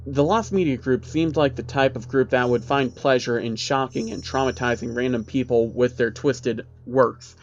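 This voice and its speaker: A man's voice: medium pitch, fairly bright tone. Male horror narrator, slightly muffled, over music. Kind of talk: scripted first-person horror story narration. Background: background music.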